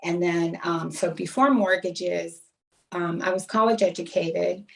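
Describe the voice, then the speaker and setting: Low, creaky American woman, off mic. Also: a little slow. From United States, Massachusetts and Florida. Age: middle-aged. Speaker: podcast guest. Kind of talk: reflective personal storytelling in an interview. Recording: voice distant from the mic.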